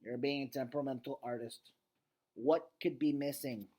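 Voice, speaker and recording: A man's voice: mid-range voice, steady tone, moderate pace, dark tone, breathy edge, lightly acted. A man voicing a grumbling, exasperated dog from a storybook, with a complaining manner.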